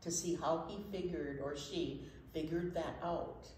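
A woman's voice: low-pitched, a little slow, darkish tone. A woman in her sixties presenting a children's storytime, talking and reading aloud expressively, with emphatic repeats of key words. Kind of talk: casual children's storytime talk and read-aloud. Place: library children's room. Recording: slightly echoey room, faint noise.

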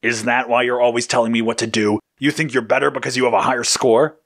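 A man's voice: medium pitch, flat delivery, quick pace, fairly bright tone, voice pushed up. A man voicing a hot-headed, competitive agent in an audio story, indignant and demanding. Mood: accusing. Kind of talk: acted audio story dialogue.

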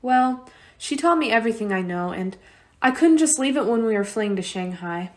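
A woman's voice: on the high side, lively intonation, normal speed, close to an everyday voice. A woman playing an earnest, sentimental young refugee girl in a radio play.

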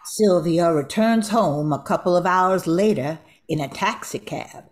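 Low, unhurried older woman narrator. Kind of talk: scripted radio drama narration.

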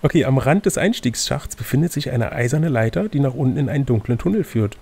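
A man's voice: mid-range voice, lively intonation, quick pace, gravelly, a little mellow, slightly rough. A man narrating an adventure gamebook as game master, switching between scripted reading and casual chat.

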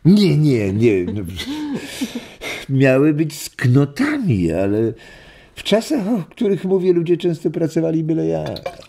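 An actor playing a warm old grandfather who reminisces in an animated way in a children's radio play. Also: on the high side, creaky, full character voice. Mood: reminiscing. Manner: animated storytelling with chuckles and drawn-out words.

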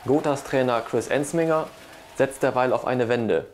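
A man's voice: mid-range voice, moderate pace, slight background noise. A middle-aged man delivering a scripted sports report into a lapel mic.

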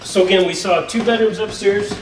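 A man's voice: sits high, fairly even intonation, a little fast. A man in his forties, a realtor walking through empty rooms and talking casually and a bit hesitantly as he shows the house. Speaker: realtor giving a house tour. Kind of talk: casual walk-through tour talk. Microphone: camera mic at a few metres. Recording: echoey bare rooms, distant mic, noisy.

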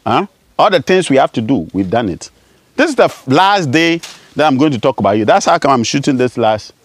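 A man's voice: medium pitch, very animated, a little fast, no echo. A man in his forties from Ghana, a spiritualist, speaking outdoors to a camera in a declamatory, accusing address, often tossing in "huh?". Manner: declamatory, rising and falling sharply, repeating "huh?". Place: outdoors among bushes.

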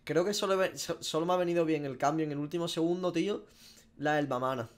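A man's voice: a little high, fast talker. A man, giving casual, profanity-laced reaction commentary as a gaming streamer. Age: 20s. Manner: slangy, profane. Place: small room at home.

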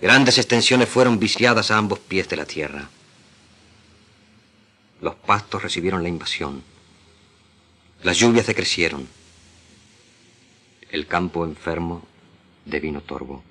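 A man with an Argentine accent giving a solemn, deliberate, declamatory literary reading as a radio actor. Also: sits low, pushed and bright, slightly rough.

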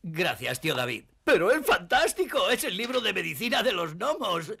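An actor playing an eager, excitable gnome nephew in a cartoon. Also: male voice, high-pitched, moderate pace, gravelly, breathy edge, exaggerated voice. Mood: grateful, amazed. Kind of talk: Spanish-language cartoon dub acting.

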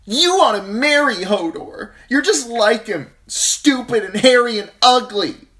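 A man voicing a lovestruck, headstrong young noble girl in an audiobook, high and breathless. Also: measured pace. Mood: furious. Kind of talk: audiobook character voice.

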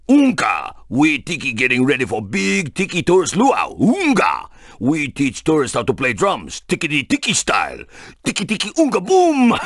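A man voicing a friendly, excitable tiki statue in a cartoon video game, husky, speaking broken English with chanted exclamations. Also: fairly high pitch, no room sound, clean studio sound, full character voice. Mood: excited. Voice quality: husky, gravelly.

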